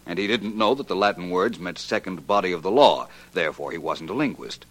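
An actor playing a shrewd, confident detective in 1940s radio drama style, laying out his deductions crisply and methodically. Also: sits low, expressive, fairly quick, poor audio. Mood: self-assured, explanatory. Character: shrewd, self-assured male amateur detective explaining his deductions.

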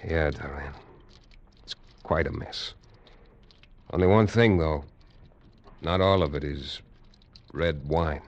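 An actor in a 1950s radio drama playing a shrewd adventurer with a dry, knowing manner. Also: male voice, very deep voice, slow pace, pauses often, highs cut off. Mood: somber. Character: shrewd adventurer, cool and wry.

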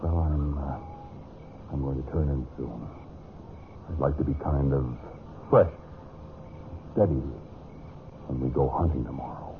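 Narrow, slightly hissy old radio sound frames a stern American man. His voice is deep, and he presses his point bluntly and deliberately, a protective brother in a period drama. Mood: stern, pressing. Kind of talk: mid-century American radio drama acting. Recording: noisy, narrow-band old radio recording.

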